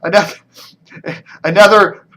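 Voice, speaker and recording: A man's voice: sits high, steady tone, unhurried, bright, forceful tone. An American man giving casual, rambling commentary as a video blogger, with a wry, mildly sarcastic manner.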